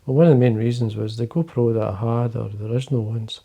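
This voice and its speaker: An older Scottish man with a low, quiet voice, gravelly and mellow in tone. He chats casually to the camera from his desk, wandering through his points with plenty of fillers. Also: steady tone, fast. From Scotland. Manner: quiet, rambling, many fillers and restarts. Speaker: fly-fishing video host. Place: indoors, fly-tying desk.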